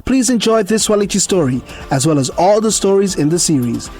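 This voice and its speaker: A man's voice: high voice, animated, brisk, a little mellow. A man reading a scripted introduction as the presenter of an audio story series. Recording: faint music behind.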